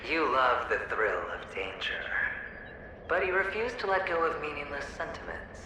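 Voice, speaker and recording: A man voicing a smug, teasing young man in a video game cutscene, speaking in a sardonic, deliberate way. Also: on the high side, animated, natural voice. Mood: taunting. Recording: very echoey game audio with ambient noise.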